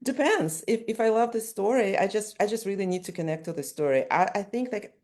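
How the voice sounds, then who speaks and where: A woman's voice: mid-range voice, brisk, darkish tone. A woman whose first language is Russian, an author-illustrator answering interview questions thoughtfully, often restarting her sentences. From Ukraine.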